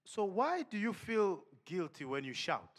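A man preaching a sermon with lively energy, laughing and firing questions at the congregation. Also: high voice, unhurried.

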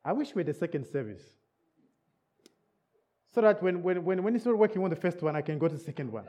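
A man's voice: high voice, lively intonation, fast, mellow, slight background noise. A man preaching a sermon in a church service in England, English not his first language, repeating key words and checking in with the listeners.

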